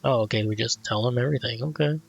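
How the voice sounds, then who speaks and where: A man with a mid-pitched voice reacts casually as he plays a game. His remarks are short and low-key, on a slightly dull mic with faint music behind. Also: fast talker, gravelly. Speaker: gaming video creator. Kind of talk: casual let's play commentary.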